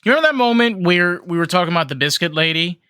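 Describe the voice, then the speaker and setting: A podcast co-host with a fairly deep voice, chatting casually and informally and swearing freely. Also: animated, fast, pushed and bright, clear, resonant tone, dry recording, studio quality. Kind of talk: casual banter.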